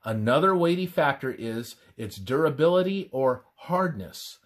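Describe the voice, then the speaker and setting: A man reads a scripted religious text aloud in a measured, deliberate manner, pausing between phrases. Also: medium pitch, animated. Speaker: reader of a religious presentation. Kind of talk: scripted devotional narration, read aloud.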